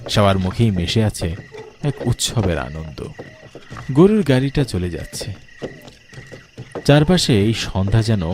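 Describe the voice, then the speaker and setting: A man's voice: on the low side, very animated, unhurried, long pauses, a little mellow. A man reads a scripted ghost story as its narrator.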